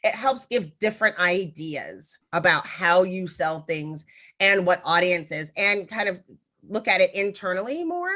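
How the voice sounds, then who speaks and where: A woman's voice: lowish voice, lively intonation, a little slow, fairly bright tone. A woman co-hosting a podcast, chatting casually and drifting into stories with frequent ums. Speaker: podcast co-host. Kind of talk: casual conversation.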